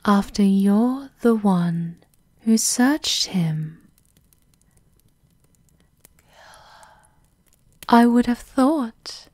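A woman playing a devoted, menacing servant of a vampire countess, formal and softly sinister in an ASMR roleplay, often in a half-whisper. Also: mid-range voice, no echo, studio quality. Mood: quietly accusing.

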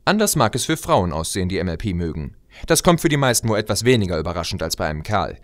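A man delivering a scripted opinion monologue as a video blogger, conversational and expressive with rhetorical asides. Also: sits low, fast, dry recording, studio quality. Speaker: video blogger and fan audio drama maker.

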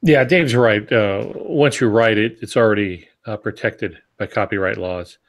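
An older man in his sixties explains things casually in a lowish voice. He speaks as an experienced panelist, pausing between phrases as he gives advice. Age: 60s. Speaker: podcast co-host and published author. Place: home office, indoors. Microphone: earbud headset on video call.

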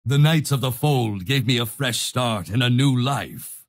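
A male actor playing a proud, zealous holy knight leader in a fantasy video game, with a gravelly, husky voice and grandiose, solemn delivery. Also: medium pitch, animated. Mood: beckoning. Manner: grandiose, solemn, deliberate declamation. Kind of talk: theatrical fantasy game voice lines.

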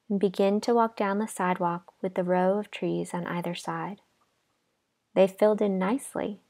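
A woman leading a guided imagery relaxation exercise in a calm, gentle, unhurried manner with pauses. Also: medium pitch. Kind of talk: guided imagery meditation narration.

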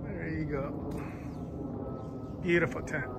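A man's voice: fairly high pitch, steady tone, extremely slow, heavy background noise. A man filming a casual vlog outdoors on his phone, talking eagerly and plugging a shop. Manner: enthusiastic, promotional. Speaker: vlogger. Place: outdoors at a warehouse lot and a driveway. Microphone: phone camera held by speaker.

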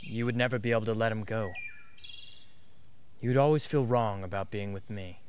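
A man's voice: lowish voice, normal speed, natural voice. An actor playing an embittered, intense young male rebel in a radio drama. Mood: wistful, resigned.

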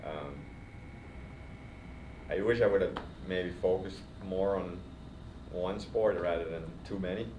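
A deep-voiced man with a Finland Swedish accent explains things in a measured, thoughtful way. He sounds like he is recorded across a roomy training studio, with the mic at a distance and a noisy floor of sound. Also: darkish tone. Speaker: guest cycling coach. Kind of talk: casual podcast interview. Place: training studio, seated in recovery chairs.

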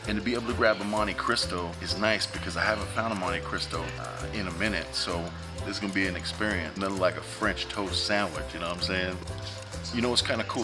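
Food vlogger, thirties, very low voice, eager and jokey, lapel mic in a diner, music under it. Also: male voice. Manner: enthusiastic, joking, talks while eating. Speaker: food reviewer. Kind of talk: casual food review vlog. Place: restaurant dining room, indoors.

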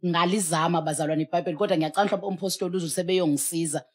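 A woman's voice: lowish voice, fairly even intonation, very fast. A South African woman speaking as a pastor on a studio talk show, emphatic and exhorting, mixing in English. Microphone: headset.

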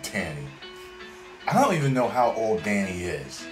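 Male reaction host, 30s. Hugely animated, slow-paced, jokey, sweary, in an echoey home room. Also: on the low side, over loud music. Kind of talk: casual reaction chat. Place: indoor home room at a desk.

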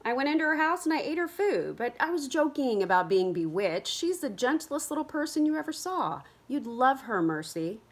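A woman's voice: mid-range voice, sing-song, a little fast, slightly rough. A woman voicing a spirited, headstrong teenage girl who pleads earnestly, reading from a novel. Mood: earnest pleading.

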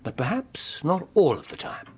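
An actor playing a brisk, commanding gentleman detective with an English accent in a radio drama, precise and probing. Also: on the high side, sing-song, slightly rough, exaggerated voice. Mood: coolly incisive. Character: incisive, commanding middle-aged gentleman detective. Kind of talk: British radio drama acting.

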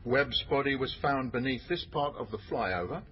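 A businesslike male detective boss, played by an actor for a radio crime drama. He speaks at middling pitch with firm authority. The sound is slightly dull with faint noise. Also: lively intonation, normal speed, natural voice. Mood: brisk, commanding. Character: brisk male police detective boss running a murder inquiry.

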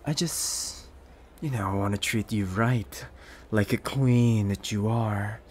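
Slow, low, doting rich boyfriend, acted by a man. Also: a little hiss or hum. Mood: doting, coaxing. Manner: soft-spoken, unhurried, coaxing with pet names. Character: rich possessive young boyfriend, smooth, doting, quietly menacing. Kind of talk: ASMR boyfriend roleplay.

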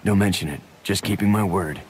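An actor playing a gruff, reserved young male fugitive in a video game, speaking tersely. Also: sits low, a little fast. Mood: dry, guarded. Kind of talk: video game cutscene acting.

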